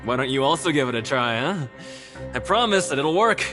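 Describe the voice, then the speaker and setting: A man's voice: medium pitch, expressive, a little fast, over music, close to an everyday voice. A man playing an exuberant, pushy optimist in a video game, hearty and encouraging. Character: exuberant, pushy optimistic young male pep-talker.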